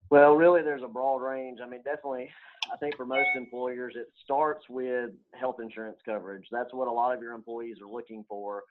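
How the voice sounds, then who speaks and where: A man's voice: mid-range voice, fairly even intonation, brisk, narrow, tinny audio. A man explaining conversationally as an expert interview guest. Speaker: financial advisor guest.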